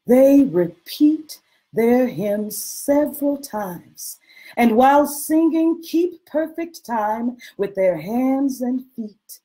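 A woman giving a measured, expressive dramatic reading of a literary text over a video call. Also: a little high, rough recording. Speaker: reader performing a historical diary. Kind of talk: dramatic literary reading with some sung hymn.

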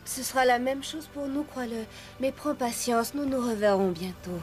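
A woman's voice: fairly high pitch, moderate pace, darkish tone, soft background music, lightly acted. A woman voicing a tender, worried mother in a French cartoon dub, soothing and comforting.

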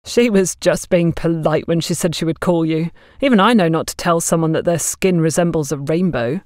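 A woman's voice: sits low, moderate pace, darkish tone, no echo, clean studio sound, natural voice. A woman voicing a nervous, earnest young woman in an audio story. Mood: flustered, defensive. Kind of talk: audio story character dialogue.

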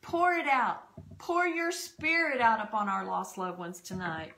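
A woman's voice: a little high, big pitch swings, a little slow, gravelly, sharp tone. A middle-aged woman pastor praying fervently and citing scripture, recorded at home on a desk microphone. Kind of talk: spoken prayer and testimony on a church broadcast. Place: home dining room. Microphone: desk podcast microphone.

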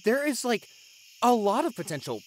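A young man playing a devoted, gentle vampire boyfriend in an intimate audio roleplay, earnest and encouraging. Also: extremely high pitch, big pitch swings, a little hiss or hum. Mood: hopeful, chatty. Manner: tender, encouraging, earnest, halting when emotional. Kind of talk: audio roleplay acting, intimate one-sided dialogue.

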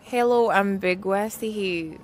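A woman's voice: mid-range voice, measured pace, faint music behind. A woman in her twenties being interviewed outdoors, giggly and boastful, answering into a handheld mic. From Nigeria and Morocco. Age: 20s. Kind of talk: comic street interview. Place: outdoor terrace.

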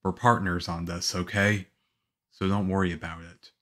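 A man playing a calm, reassuring young government agent in an ASMR roleplay, speaking softly. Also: sits low. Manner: soft-spoken, unhurried, reassuring.

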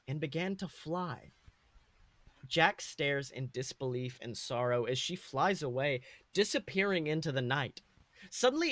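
A man's voice: on the high side, a bit bright, no room sound. A man co-hosting a podcast, reading and discussing his own comic fan fiction. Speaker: podcast co-host.

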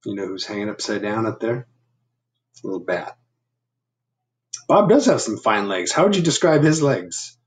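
A man in his fifties reading a picture book aloud to children, adding chatty asides and gentle questions. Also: on the low side, big pitch swings, normal speed, pauses often, slightly rough. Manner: asking the listeners gentle questions. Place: living room at home. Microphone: laptop webcam at arm's length.

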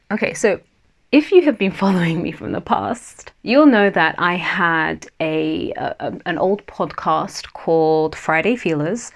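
Woman host, solo podcast, relaxed free-flow talk, lower voice, slight stammer with pauses. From Nigerian family, Yoruba heritage. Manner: chatty, laughs often, pauses from a slight stammer. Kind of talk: unscripted solo podcast chat.

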